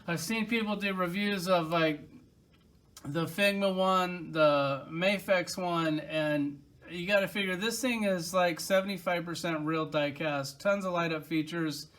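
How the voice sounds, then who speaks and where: A man's voice: sits high, measured pace, a bit bright. A man casually reviewing toys from his collection, enthusiastic and rambling, with lots of filler words. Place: at home by his collection shelves.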